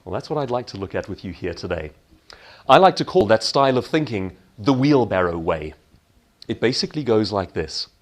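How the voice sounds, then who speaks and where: A man's voice: lowish voice, sing-song, a little fast. A man giving a motivational keynote talk in an emphatic manner, posing questions and answering them himself. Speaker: keynote speaker.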